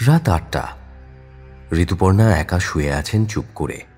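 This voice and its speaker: Deep-voiced, expressive male story narrator. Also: unhurried, slightly rough, faint music behind. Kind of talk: dramatic scripted audio story narration.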